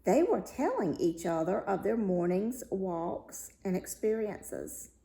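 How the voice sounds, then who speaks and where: A woman reading a fairy tale aloud as a storyteller, with deliberate, dramatic phrasing. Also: medium pitch, sing-song, creaky, warm tone. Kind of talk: expressive read-aloud fairy tale narration. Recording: roomy, echoey room sound.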